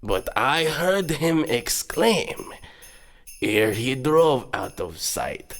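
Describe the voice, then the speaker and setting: A man narrating a storybook tale in a theatrical, dramatic and expressive way. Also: mid-range voice. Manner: dramatic, expressive, drawn-out phrasing. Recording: music behind. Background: background music.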